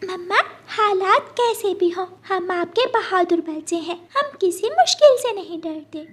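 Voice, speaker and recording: A woman's voice: very high voice, animated, measured pace, pitched above the performer's own voice. A woman voicing a childlike young girl bird, brave and anxious, in a children's cartoon. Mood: brave, cheerful.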